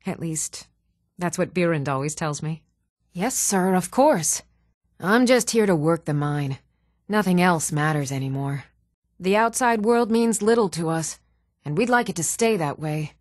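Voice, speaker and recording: An actress playing an even-toned townswoman in a fantasy video game, speaking scripted lines in a measured, matter-of-fact way. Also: on the low side, no echo, clean studio sound. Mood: plain, resigned.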